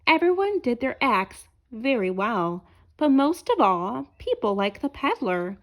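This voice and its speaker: A woman reads a children's storybook aloud. Her voice is high, with very lively rises and falls, and her narration is expressive and aimed at young listeners. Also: a little slow. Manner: asks listeners questions as she reads. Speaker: storybook reader.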